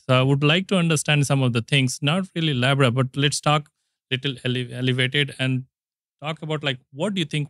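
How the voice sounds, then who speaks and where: A man's voice: mid-range voice, fairly bright tone, dry recording, studio quality. A man in his forties hosting a business interview, asking loose, conversational questions with filler words. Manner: conversational, loose grammar, "you know" fillers. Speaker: podcast host, company co-founder. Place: conference podcast studio set, indoors. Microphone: studio mic on boom arm, wearing headphones.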